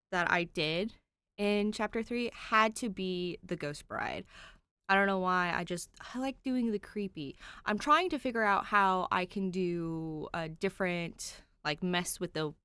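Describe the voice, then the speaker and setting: A woman's voice: medium pitch, pushed and bright, clear, resonant tone, dry recording. A woman chatting casually to her listeners as a podfic creator, enthusiastic and rambling.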